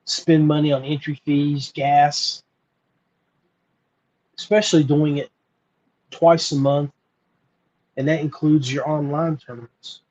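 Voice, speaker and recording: An American man hosting a podcast, talking informally and earnestly with frequent pauses. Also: on the high side, fairly even intonation, a little mellow, lo-fi sound. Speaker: podcast host. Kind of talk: informal solo podcast talk.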